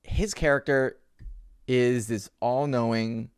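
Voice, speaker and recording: A man's voice: mid-range voice, steady tone, slow pace, clear, resonant tone, no room sound, clean studio sound. A man with a stammer co-hosting a podcast in casual, emphatic, sweary chat. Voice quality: has a stammer. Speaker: podcast co-host.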